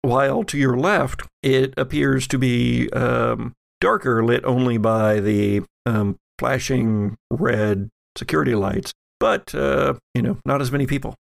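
Slow, gravelly man running a game. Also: medium pitch, steady tone. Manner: halting, deliberate delivery. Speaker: game master. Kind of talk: improvised narration of a tabletop roleplaying game.

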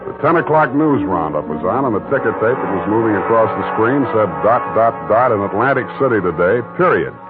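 A man doing a sardonic private eye, lowish voice, tinny old radio sound, music behind. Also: animated, a little fast, hardly any pauses, lightly acted. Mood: narrating. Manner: dry, sardonic. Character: wisecracking hard-boiled male private detective. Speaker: narrator and lead. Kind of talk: 1940s radio acting.

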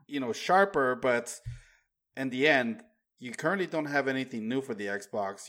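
A man's voice: medium pitch, fairly bright tone. A man co-hosting a podcast in casual chat, explaining his point earnestly. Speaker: podcast co-host.

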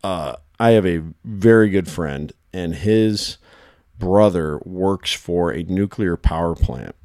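Hoarse deep-voiced male podcast host. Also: animated, mellow, dry recording, studio quality. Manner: chatty, storytelling, quick bursts. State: hoarse from shouting. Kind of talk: casual podcast chat.

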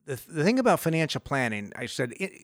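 A man's voice: on the high side, big pitch swings, fast talker, no room sound, clean studio sound. A middle-aged man hosting a radio finance show, talking casually, rambling with restarts and easy laughs.

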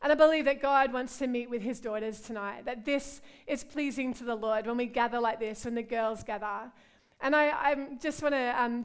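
Australian woman, middle-aged, preacher, earnest prayer, fairly high voice, smooth and clear. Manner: warm, earnest, repeats "God" and "Lord" in prayer. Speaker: pastor. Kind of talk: women's conference sermon and prayer.

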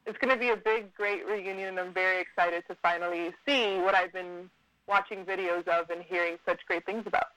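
Guest: bright, chatty woman, thirties, from Miami, dry close phone sound. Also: fairly low pitch, steady tone, a little fast, no room sound, rough recording. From Miami, USA. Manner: upbeat and chatty. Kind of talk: casual interview.

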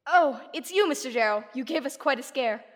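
A young woman playing an eager, plucky but anxious sleuth's companion in a classic radio drama, earnest and excitable, in a reverberant recording. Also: high voice, fairly quick, slight background noise. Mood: excited. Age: young adult. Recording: long hall reverb, faint music.

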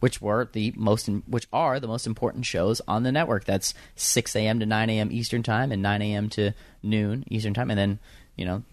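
A man's voice: fairly low pitch, steady tone, fairly quick, dry recording. A young man with a young-sounding voice, chatting casually as an interview guest about radio production work, full of fillers and restarts. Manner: rambling with many fillers and restarts.

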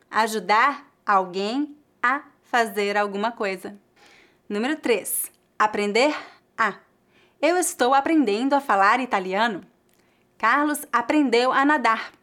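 A Brazilian woman teacher with a slightly high voice, speaking in a clear and measured way. She reads out grammar examples one at a time, enunciating each word carefully in the even cadence of a prepared lesson. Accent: Brazilian Portuguese. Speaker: Portuguese teacher.

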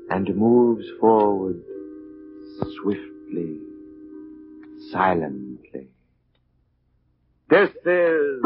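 A man's voice: fairly low pitch, full character voice. A man acting as the solemn, theatrical host of a 1940s radio mystery show, narrating with grandiose drama. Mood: ominous, commanding. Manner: slow, dramatic, grandiose delivery. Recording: dull 1940s radio recording with music.

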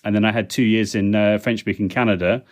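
A man's voice: on the low side, steady tone, fast. A middle-aged man, a podcast guest, talking chattily with frequent ums and small restarts as he answers questions. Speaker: guest, language technology consultant. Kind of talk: relaxed interview answers.

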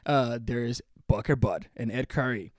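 Man in his twenties, excitable casual podcast chat, dry close sound. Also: mid-range voice, unhurried, dry recording. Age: 20s. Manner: laughing, excitable, swearing. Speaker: podcast co-host.

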